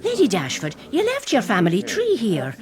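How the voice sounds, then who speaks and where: An actress playing a demure, genteel young aristocratic daughter in a period radio drama, polite and coy. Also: female voice, on the high side, wildly swooping pitch, moderate pace, a crowd in the background. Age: young adult.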